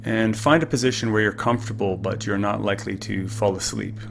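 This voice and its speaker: A man leading a guided meditation in a calm, unhurried, gently instructive way. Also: fairly low pitch, creaky, a little hiss or hum. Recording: music behind the voice. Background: music.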